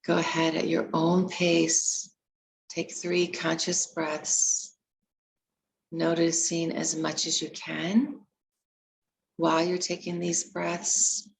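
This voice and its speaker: A woman leading a guided meditation, giving gentle, unhurried instructions with long pauses between phrases. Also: on the low side, monotone, creaky, slight background noise, low-quality recording.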